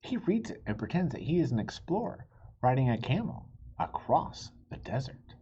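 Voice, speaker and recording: Very low-voiced woman reading a storybook. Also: normal speed, husky. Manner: expressive, speaking directly to young listeners. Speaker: read-aloud storybook reader and host. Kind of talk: children's picture-book read-aloud with friendly asides. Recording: dull lo-fi sound with faint noise.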